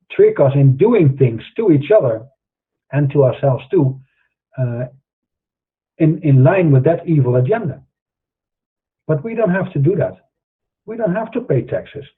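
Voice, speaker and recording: A middle-aged Dutch-accented man talks earnestly in a husky voice, sounding like a preacher and often pausing on "uh" as he restarts. The sound is tinny and narrow, like a phone line. His pitch sits in the ordinary middle range for a man. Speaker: host. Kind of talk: monologue to camera in an online show.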